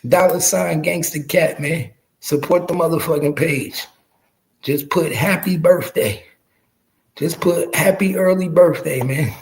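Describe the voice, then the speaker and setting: High-pitched, creaky man in his forties. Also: measured pace, poor audio. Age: early 40s. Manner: emphatic, repeating phrases for effect; slangy, profane. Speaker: podcast host. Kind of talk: casual street commentary on rap news, addressing viewers.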